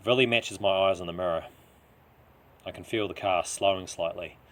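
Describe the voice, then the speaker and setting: A man's voice: low-pitched, normal speed, a bit bright. A man with a New Zealand accent reads a first-person crime story with a gritty, emphatic, dramatic delivery. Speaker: author reading own short story.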